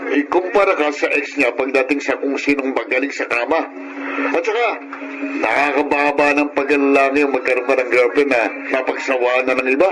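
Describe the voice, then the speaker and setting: An actor playing a boastful, chauvinistic young drinking buddy in a radio drama, opinionated and teasing. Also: male voice, medium pitch, steady tone, a little fast, lightly acted. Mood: opinionated, goading. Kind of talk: radio drama barkada banter. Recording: noisy ambience behind radio drama.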